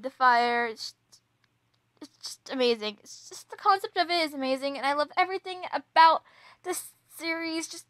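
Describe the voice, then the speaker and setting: A young woman chatting casually to viewers in a gushing, excitable, giggly manner, as an amateur voice actress promoting a project. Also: high-pitched, no room sound. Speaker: amateur voice actress introducing a role.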